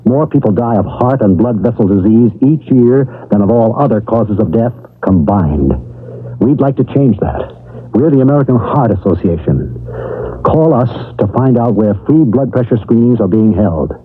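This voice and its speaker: Earnest man, husky voice, medium pitch, scripted announcement, narrow tinny radio sound. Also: lively intonation, normal speed. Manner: earnest, serious. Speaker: public service announcer.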